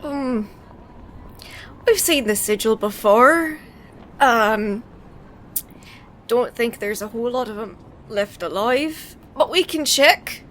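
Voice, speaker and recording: A woman's voice: a little high, expressive, takes it slowly, long pauses, fairly bright tone, a little hiss or hum. A woman playing a tabletop game, improvising her character's lines in a casual style.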